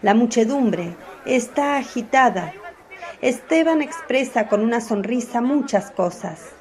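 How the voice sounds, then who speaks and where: A woman narrating a radio drama in a calm, measured storytelling manner. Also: a little high, some chatter behind.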